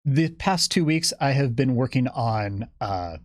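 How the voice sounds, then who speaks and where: A man's voice: medium pitch, animated, a little slow, no room sound. Man explaining casually, dry close sound. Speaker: guest, senior game developer. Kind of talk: casual interview talk.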